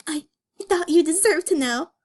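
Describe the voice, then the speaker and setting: A woman's voice: very high voice, lively intonation, moderate pace, voice pushed up. A woman voicing a tearful, stubborn young female cartoon bunny in an overwrought dramatic reading of a comic. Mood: quiet, resolute. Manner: pleading, sobbing, overwrought.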